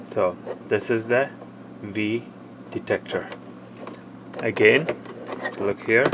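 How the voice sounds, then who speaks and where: Male tutorial presenter, lower register, halting and plain-spoken hands-on walkthrough, faint background noise. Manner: halting, plain step-by-step explaining. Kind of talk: hands-on product demonstration, unscripted.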